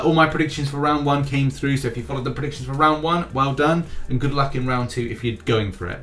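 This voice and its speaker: A man's voice: medium pitch, fairly bright tone. A man hosting a casual gaming news roundup, chatty, often restarting phrases and reading out lists of scores and rankings.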